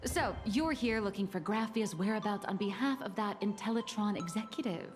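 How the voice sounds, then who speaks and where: A woman's voice: mid-range voice, animated, moderate pace, faint music behind, natural voice. An actress voicing a playful, teasing, self-deprecating young woman in an anime-style video game, chatty and theatrical. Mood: skeptical, explaining.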